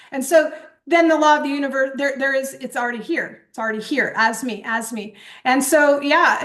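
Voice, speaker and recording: A high-voiced woman talks through her course with bubbly excitement. She rambles, doubles back on her words and fills pauses with ums. Her delivery swings between breathless speed and slow, dreamy emphasis. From born in Japan, grew up in England. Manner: animated, rambling storytelling with frequent fillers and restarts. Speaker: host and coach presenting a certification course. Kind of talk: enthusiastic webinar presentation.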